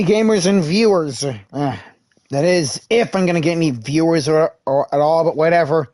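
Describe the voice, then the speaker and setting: A man's voice: sits high, no room sound, studio quality. A man hosting a gaming video with casual, rambling commentary that often corrects itself.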